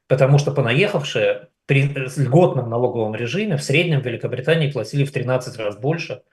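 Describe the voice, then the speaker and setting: A man from Russia speaks as a guest commentator in a mid-range voice. He explains things conversationally, with a measured delivery and a wry, dryly joking touch. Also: medium pitch. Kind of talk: conversational analysis.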